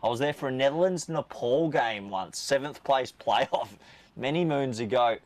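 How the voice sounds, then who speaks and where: A man's voice: mid-range voice, normal speed. An Australian man doing live cricket commentary, chatty and joking with his co-commentator while reading out viewer questions.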